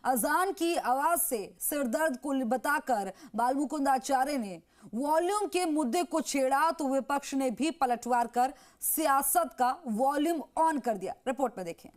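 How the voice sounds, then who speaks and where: A woman works as a news anchor, reading a prepared introduction to a story. Her voice is high in pitch. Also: lively intonation, unhurried.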